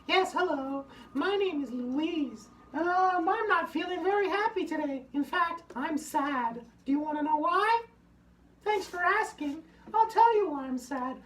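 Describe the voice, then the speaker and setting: A man doing a squeaky, fretful puppet girl. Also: animated, a little slow, a bit bright, exaggerated voice. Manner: fretful, chatty, talks to the audience. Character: sad fuzzy female hand puppet. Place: home workshop room. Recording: roomy, slightly distant.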